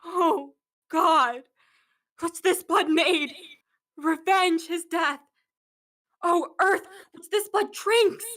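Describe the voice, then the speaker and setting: Casting: young actress for furious bereaved noblewoman, Shakespearean radio drama, extremely high, sharp voice, scornful and impassioned. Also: very slow, pauses often, heavily acted. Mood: outraged, fearful. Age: young adult. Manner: declamatory, impassioned. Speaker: acting student. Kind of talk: Shakespearean radio play acting.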